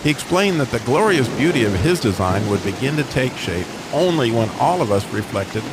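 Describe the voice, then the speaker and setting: Man playing a humble, expressive starter motor. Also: medium pitch, moderate pace, very noisy. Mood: earnest gratitude. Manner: earnest, reflective storytelling. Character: humble, grateful male starter motor, sincere underdog hero.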